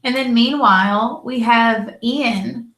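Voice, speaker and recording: A woman's voice: a little high, slow pace, a bit bright, reverberant. A woman with a Southern American accent, chatting casually while reviewing a TV episode and acting out quotes, with lots of "like" and "you know". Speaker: co-host of a TV review show.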